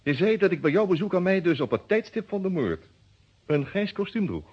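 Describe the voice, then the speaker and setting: A proud male lawyer under suspicion in a vintage radio crime drama. His husky voice swings widely in pitch as he throws out sardonic, mock-formal challenges. The sound is muffled and lo-fi. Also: big pitch swings, fairly quick, heavily acted. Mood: indignant. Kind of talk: Dutch detective radio drama acting. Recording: dull lo-fi old radio recording.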